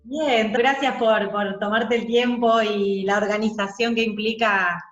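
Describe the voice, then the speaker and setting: A woman's voice: medium pitch, steady tone, measured pace, dull audio, faint music behind. A woman with an Argentine accent interviewing casually, phrasing things in a hesitant, roundabout way, in a roomy recording. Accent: Argentine Spanish. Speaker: podcast interviewer. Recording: echoey, roomy sound.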